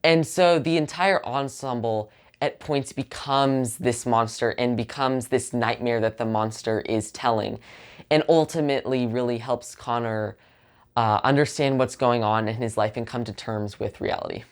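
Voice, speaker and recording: Woman, extremely low voice, informal interview explanation. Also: normal speed. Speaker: theater production interviewee.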